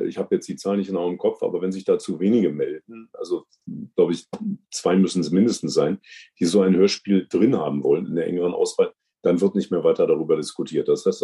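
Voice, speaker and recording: A man's voice: sits low, mellow, breathy edge. A man speaking as an interview guest, explaining a process carefully, step by step. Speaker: interview guest, jury member.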